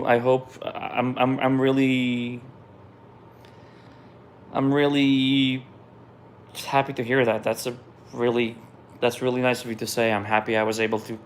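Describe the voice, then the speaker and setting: A middle-aged man from Israel, whose first language is Russian, casually reads out viewer questions and answers them in a relaxed, conversational way, often trailing off and restarting. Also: mid-range voice. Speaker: musician hosting a viewer Q&A.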